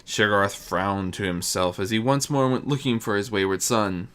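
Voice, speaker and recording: A storyteller reading fiction aloud in an animated, swooping way, with occasional stumbles over the words. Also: sits low, moderate pace, smooth. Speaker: narrator. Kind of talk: scripted narration, fan fiction reading.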